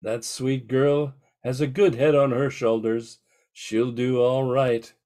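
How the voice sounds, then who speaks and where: An older man playing a gruff, pompous, wealthy industrialist in an amateur radio mystery. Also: mid-range voice, level tone, takes it slowly, mellow, close to an everyday voice. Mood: rueful. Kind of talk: amateur old-time radio mystery acting.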